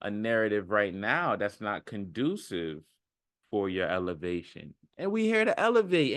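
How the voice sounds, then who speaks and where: A man's voice: mid-range voice, no room sound, studio quality. A man talking casually and earnestly to his viewers in a motivational monologue, rambling, with slangy phrasing and repeating himself for emphasis. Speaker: self-development video creator.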